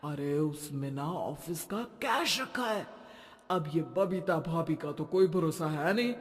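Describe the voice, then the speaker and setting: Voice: medium pitch, slow pace, long reverb tail, pitched below the performer's own voice, close to an everyday voice. A narrator playing a flirtatious, easily flustered middle-aged husband in a cartoon comedy, with coy, stammering speech. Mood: sheepish. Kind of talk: Hindi cartoon comedy character voice.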